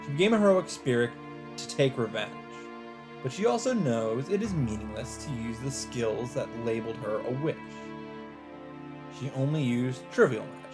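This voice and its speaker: Man, medium pitch, dramatic story narration, expressive, deliberate pauses, let's play reading, soft background music. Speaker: let's play host reading a visual novel aloud. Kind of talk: dramatic narration read aloud from on-screen text.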